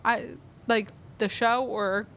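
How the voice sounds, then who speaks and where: Middle-aged woman, new jersey accent, podcast co-host, animated casual chat. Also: medium pitch, takes it slowly, slight background noise. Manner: animated, emphatic storytelling.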